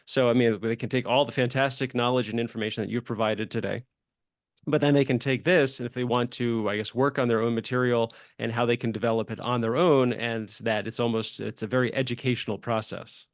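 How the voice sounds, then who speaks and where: A man hosts a casual interview in a medium-pitched voice, recorded very dry. He talks in a chatty, loosely rambling way, often affirming points as he moves along. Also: fairly even intonation, fairly quick, no room sound. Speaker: podcast host and screenwriter. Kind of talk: casual conversational interview hosting.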